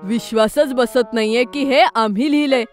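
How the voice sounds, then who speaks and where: A woman voicing an excitable, curious young schoolboy who frets a little, in a children's cartoon story. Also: on the high side, normal speed, lightly acted. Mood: delighted. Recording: music behind the voice.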